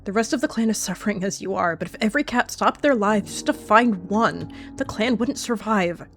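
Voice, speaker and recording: A woman's voice: medium pitch, fairly quick, fairly bright tone, close to an everyday voice. A woman voicing a secretive young she-cat who turns defensive and snappy, with faint music behind. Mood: urging.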